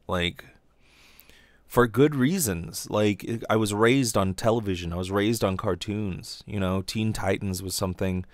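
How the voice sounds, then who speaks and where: A man's voice: lowish voice, unhurried, no room sound. A man hosting a casual monologue podcast, stammering through repeated false starts and swearing as he rambles. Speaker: podcast host and aspiring voice actor.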